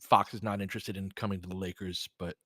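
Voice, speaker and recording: Bright-voiced American man calling a game. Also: low-pitched, little pitch movement, fast, no echo, studio quality. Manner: conversational, reacting to chat comments. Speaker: play-by-play streamer and analyst. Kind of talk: casual live sports commentary and chat.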